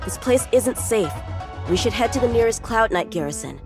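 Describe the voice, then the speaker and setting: An eager young swordsman for a video game dub. The boyish voice sits fairly high and swings with lively inflection. He is earnest, polite and sure of himself, with music playing behind him. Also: moderate pace. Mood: earnest, courteous. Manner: earnest, animated. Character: eager, confident teenage boy swordsman, polite and talkative.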